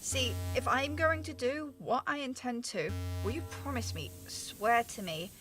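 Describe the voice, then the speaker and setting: A woman's voice: a little high, sing-song, unhurried, a bit bright. A woman playing a guilt-ridden scientist who blames herself, talking with anguish and urgency. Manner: anguished, self-blaming, urgent pleading. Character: guilt-ridden female scientist, younger sister. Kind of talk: amateur radio drama acting.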